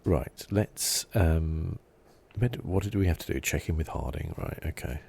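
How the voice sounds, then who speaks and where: A soft-spoken man giving relaxed, rambling ASMR commentary as he plays a video game, thinking aloud. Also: normal speed, vocal fry, breathy edge. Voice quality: soft-spoken, low-key.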